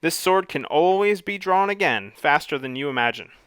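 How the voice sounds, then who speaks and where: A man voicing a calm, terse male monster hunter who speaks dryly and evenly. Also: on the high side, normal speed, clean tone, dry recording. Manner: calm, dry.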